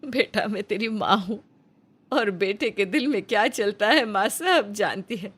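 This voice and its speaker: A woman's voice: mid-range voice, lively intonation, unhurried, fairly bright tone, husky. A woman voicing a caring, worried mother, tender and insistent, in a dramatized cartoon story. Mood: tender, coaxing. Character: caring, worried poor widowed mother to grown son.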